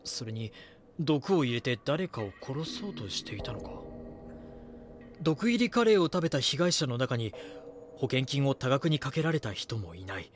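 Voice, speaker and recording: A man's voice: medium pitch, sing-song, normal speed, slight background noise, lightly acted. A man acting an earnest magazine reporter in an audio drama, thinking through a case. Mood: brooding, puzzled. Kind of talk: Japanese audio drama acting, brooding monologue and dialogue.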